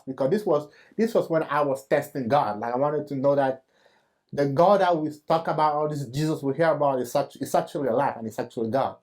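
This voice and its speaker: A man, hosting and giving his own faith testimony in an earnest, halting storytelling manner with fillers and restarts. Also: medium pitch, darkish tone. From Cameroon. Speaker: host.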